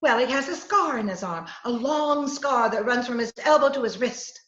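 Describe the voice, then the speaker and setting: A woman's voice: on the high side, lively intonation, moderate pace, fairly bright tone, dull audio. A woman playing a flustered, wheedling captive in a 1940s-style radio comedy, chattering with stammers and dithering, over a roomy video call. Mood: uneasy. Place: remote video-call performance.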